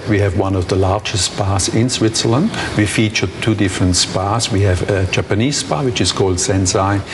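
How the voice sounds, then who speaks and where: A man, a hotel manager, answering interview questions politely in measured, slightly non-native phrasing. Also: on the low side, background noise.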